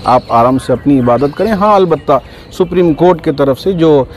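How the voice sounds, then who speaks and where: A man speaking calmly to reporters as a community spokesman, reassuring and unhurried, with small fillers. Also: on the high side, a little hiss or hum. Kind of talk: press statement.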